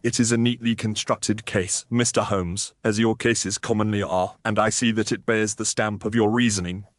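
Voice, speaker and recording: Man acting formal, even-toned police inspector. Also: lowish voice, dry recording, clean studio sound, natural voice. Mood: official, firm. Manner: formal, official, deliberate. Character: grave, dutiful Victorian police inspector.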